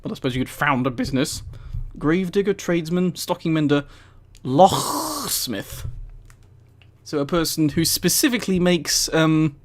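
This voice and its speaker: Man, slightly high voice, casual livestream reader, amused, rambling asides, headset mic. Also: expressive, normal speed. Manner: amused, giggly, rambling commentary. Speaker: streamer reading a book aloud to live chat.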